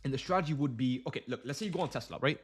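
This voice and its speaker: A man's voice: medium pitch, very fast, husky. A young man casually chatting on a trading livestream, slangy and loose, full of fillers and restarts. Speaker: day trading streamer.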